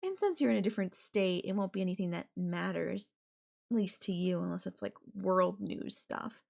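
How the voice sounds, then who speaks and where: A woman voice actor playing an obsessive, possessive girlfriend in an intimate audio roleplay, with a coy, casual, drawn-out way of talking. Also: medium pitch, animated, gravelly, a little mellow. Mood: casual, matter-of-fact. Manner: coy, drawn-out, casual filler-laden phrasing with giggles and sighs. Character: obsessive, possessive yandere girlfriend, playfully menacing kidnapper.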